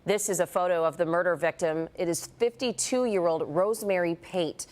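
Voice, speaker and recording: A woman anchoring a local morning TV newscast, reading stories clearly and steadily. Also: fairly low pitch, normal speed, a little mellow. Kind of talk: scripted news reading.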